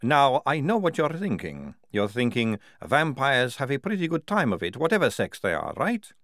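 A man voicing a young female vampire soldier who passes as male, with a brisk, wry, chatty manner, in an audiobook story. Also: medium pitch, huge pitch swings, fairly bright tone, no room sound, clean studio sound, voice pushed up. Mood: wry, exasperated.

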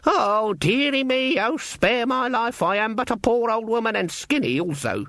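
A man's voice: extremely high pitch, lively intonation, normal speed, fairly bright tone, dry recording, clean studio sound, voice pushed up, full character voice. A male narrator voicing a crabbed, stubborn old country witch with blunt rustic speech in an audiobook. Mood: mock pleading. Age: older.

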